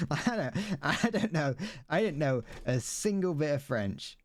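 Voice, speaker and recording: A man's voice: a little high, big pitch swings, slow, no echo, studio quality. A young man streaming as he builds a model kit, chatting casually, rambling, correcting himself and stumbling over his words.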